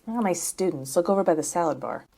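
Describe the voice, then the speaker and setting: A woman's voice: lowish voice, lively intonation, fast talker, vocal fry, mellow. An actress playing a wry, uneasy woman academic in an audio drama, speaking in a hesitant, understated way. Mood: wry, casual.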